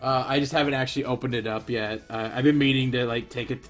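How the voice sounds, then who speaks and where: Young male animator, bright voice, casual opinionated rambling over soft music. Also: mid-range voice, fairly even intonation, fast talker. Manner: rambling, opinionated, many fillers and restarts. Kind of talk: casual commentary while animating.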